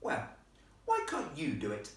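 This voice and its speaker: Man playing a posh young girl, storybook character, defiant, easily indignant, raised pitch, echoey room. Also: sing-song, moderate pace, a bit bright. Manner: posh, well-spoken, la-di-da. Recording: echoey room, distant microphone.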